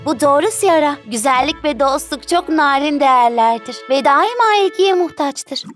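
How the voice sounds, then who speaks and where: A woman voicing a gentle, wise young mermaid queen in a children's cartoon, speaking earnestly like a storyteller. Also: high-pitched, lively intonation, moderate pace. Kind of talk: animated children's cartoon dubbing. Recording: music under the voice.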